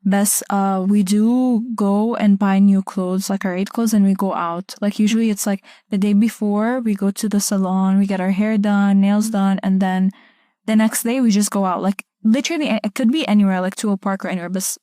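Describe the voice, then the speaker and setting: A young woman from Egypt hosts a casual podcast conversation. Her voice is mellow and sits at a medium pitch. The recording is dry and close. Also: fairly even intonation, moderate pace, dry recording. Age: young adult. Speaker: podcast host.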